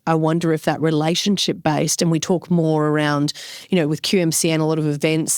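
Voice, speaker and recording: A woman's voice: sits low, dry recording, studio quality. A woman hosting a podcast, speaking conversationally and reflectively as she thinks aloud and puts questions to a guest. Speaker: podcast host. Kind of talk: conversational interviewing.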